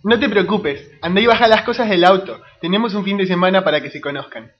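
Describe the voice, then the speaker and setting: A woman's voice: mid-range voice, fast talker, muffled recording, lightly acted. A woman with an Argentine accent playing a brusque, impatient young girlfriend in a comic radio serial. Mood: brisk. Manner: curt, bossy.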